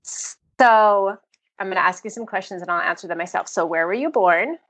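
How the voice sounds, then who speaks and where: A woman's voice: medium pitch, normal speed, a bit bright. A young adult American woman hosting a book podcast, chatty and effusive as she interviews a guest. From United States. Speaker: podcast host. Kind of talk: casual interview chat.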